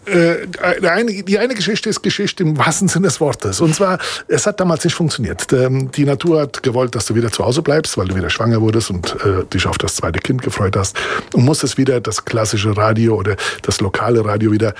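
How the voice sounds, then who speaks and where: A man's voice: medium pitch, lively intonation, brisk, husky, dry recording. A man hosting a casual radio interview, chatty and playful, whose first language is Italian. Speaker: radio host.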